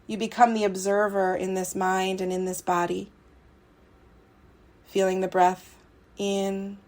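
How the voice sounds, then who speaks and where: Woman doing a yoga nidra style guided meditation, soothing, pretty flat tone, short phrases w long gaps. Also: medium pitch, measured pace.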